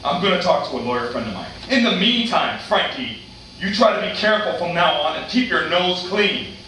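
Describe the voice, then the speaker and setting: A man's voice: fairly high pitch, animated, a little fast, pushed and bright. A man playing a stern, reproachful father in an amateur staged play reading. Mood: stern, advising. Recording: distant echoey room recording, faint noise.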